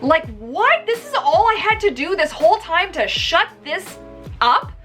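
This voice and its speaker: A woman in her thirties telling a personal story casually to camera in an animated, emphatic way, recorded in a room at home. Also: very high-pitched, measured pace, faint music behind. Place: small indoor room at home.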